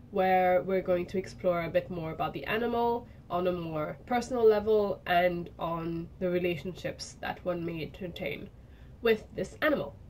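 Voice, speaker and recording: A young woman from Zimbabwe and Kenya giving a personal portfolio presentation, reflective and pausing often to restart her thoughts. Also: lowish voice, a little mellow, slight background noise. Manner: reflective, with frequent restarts and hedges.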